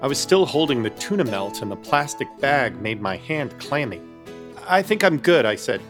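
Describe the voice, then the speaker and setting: A man's voice: mid-range voice, very animated, normal speed, music in the background, natural voice. An actor playing an uneasy apartment hunter who narrates his ordeal in florid, wry scripted storytelling for a horror comedy radio play.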